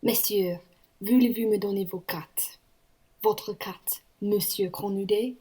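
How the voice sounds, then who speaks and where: A dignified aristocratic gentleman acted by a woman with a husky, muted voice. He speaks formally and emphatically, with theatrical indignation, as in an amateur radio play. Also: medium pitch, steady tone, takes it slowly. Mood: indignant, commanding.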